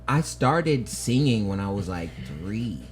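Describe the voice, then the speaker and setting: A man's voice: lowish voice, expressive, unhurried, over loud music. A man co-hosting a podcast, telling rambling stories in casual chat. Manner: rambling storyteller, trails off and restarts. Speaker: podcast co-host.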